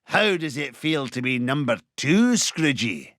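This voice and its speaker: A smug, cheating rival tycoon duck who sneers with pride. His bright, sharp voice swoops wildly, and he draws out his words slowly. Light music sits behind him. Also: medium pitch, sing-song, no room sound, studio quality. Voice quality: sneering, boastful. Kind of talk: video game cutscene acting.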